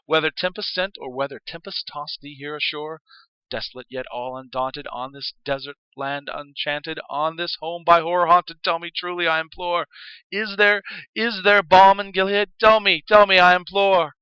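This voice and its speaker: A man's voice: fairly high pitch, fairly even intonation, normal speed, smooth, dry recording, lo-fi sound, voice pushed up. A man playing a grieving, haunted gothic mourner with intense, declamatory delivery, as in a theatrical poetry reading. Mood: desperate pleading. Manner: intense, declamatory, swinging from hushed to crying out.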